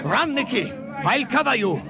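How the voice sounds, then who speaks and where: A man's voice: very high-pitched, sing-song, measured pace, vocal fry, a loud crowd, heavily acted. A man playing a wounded, self-sacrificing professor in a vintage radio drama, speaking with formal, urgent authority. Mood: resolute. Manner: urgent, formal, declamatory commands. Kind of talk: 1950s radio drama acting. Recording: noisy old radio recording, battle sound effects.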